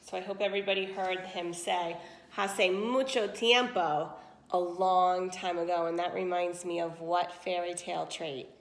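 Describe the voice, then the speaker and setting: A woman's voice: fairly low pitch, steady tone, unhurried, roomy sound, poor audio. A woman reading a picture book aloud to children like a teacher, explaining as she goes and checking understanding. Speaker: read-aloud storyteller, teacher.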